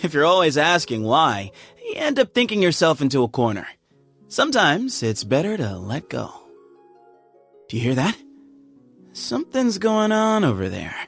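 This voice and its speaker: A man's voice: a little high, normal speed, gravelly, pauses often, faint music behind, heavily acted. An actor playing a laid-back, playful young man who leads a desert temple, recorded in a studio for game voice lines. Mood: breezy. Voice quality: lively, breezy, chuckling. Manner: easygoing, friendly, teasing. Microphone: studio mic.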